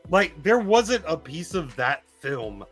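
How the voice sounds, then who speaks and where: A man's voice: a little high, very animated, slow pace, soft background music. A man chatting casually and enthusiastically about films on a live stream, speaking emphatically.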